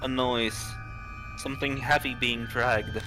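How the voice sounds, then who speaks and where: A man reading visual novel dialogue and narration aloud on a livestream, halting and stumbling over some words. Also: mid-range voice, fairly even intonation, music in the background.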